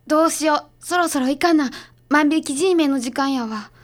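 A woman's voice: sits high, moderate pace, close to an everyday voice. A woman with a Kansai accent playing a feisty, outspoken detective's assistant in a radio drama, blunt and casual. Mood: indignant.

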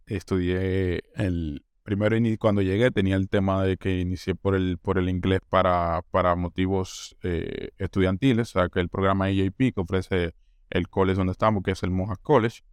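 A man's voice: low voice, steady tone, normal speed, clear, resonant tone, no echo, clean studio sound. A Colombian man talking at length in an interview, often restarting words and acting out what others said. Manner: talkative, restarts words, acts out quoted voices. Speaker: interview guest, newcomer. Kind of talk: casual interview.

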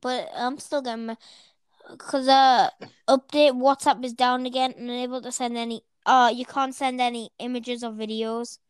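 Young woman with a smooth high voice. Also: little pitch movement, normal speed, no echo. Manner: rambling, filler-heavy questions. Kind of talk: casual chat.

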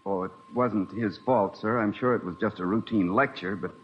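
An actor playing a polite but disillusioned young male college student in 1950s radio drama, earnest, slangy and husky. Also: lowish voice, normal speed, slight background noise, natural voice. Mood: polite, uneasy. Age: young adult. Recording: muffled vintage radio recording.

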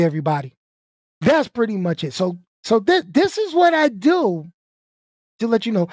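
A man's voice: sits high, darkish tone, dry recording, studio quality. A man from Brooklyn, hosting his own live stream, joking and chatting straight to his viewers. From Brooklyn, New York. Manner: joking, hyped, talks to viewers directly. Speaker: YouTube live stream host. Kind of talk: casual live stream outro chat.

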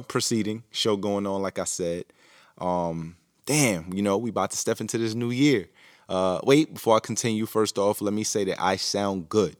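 A man's voice: low voice, dry recording, studio quality. A man hosting his own podcast, talking alone in a rambling, reflective way with lots of fillers and restarts. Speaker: podcast host. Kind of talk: casual solo monologue.

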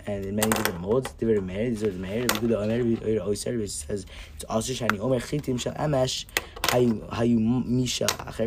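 A hoarse young man hosting an upbeat daily Torah lesson podcast, cheerful and stumbling now and then over Hebrew terms. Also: on the low side, noisy background. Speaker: podcast host teaching Mishnah.

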